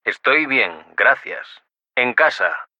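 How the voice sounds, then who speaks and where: A man's voice: mid-range voice, expressive, slow, bright, forceful tone, no room sound, lo-fi sound, exaggerated voice. An actor playing a curious, friendly young man in language-course dialogues, chatty and full of questions. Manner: chatty, inquisitive. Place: dry studio.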